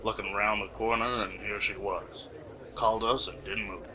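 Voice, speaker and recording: A man playing a brisk, dry police officer in an amateur radio play, clipped and procedural. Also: lowish voice, fairly even intonation, bright, forceful tone. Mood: matter-of-fact.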